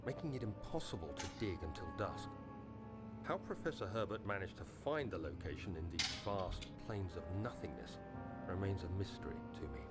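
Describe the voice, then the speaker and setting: A solemn deep-voiced 1830s explorer. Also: male voice, animated. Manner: measured, solemn diary reading. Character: male 1830s explorer narrating his expedition diary. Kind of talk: scripted game narration. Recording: game voice-over with loud music underneath.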